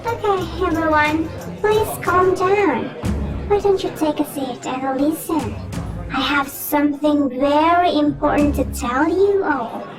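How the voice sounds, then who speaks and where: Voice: high voice, takes it slowly, crowd noise. An actor playing a small, cute, fluffy goblin creature in an audio drama, mock-polite and childlike with a sinister edge. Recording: echoing voice under loud music.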